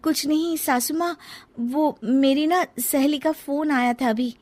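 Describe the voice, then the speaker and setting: A woman's voice: sits high, a little slow, a little mellow, voice pushed up. A woman voicing an anxious, meek young daughter-in-law with a secret in a family story, pleading and deferential. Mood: nervous, deferential. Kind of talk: dramatized Hindi family storybook acting.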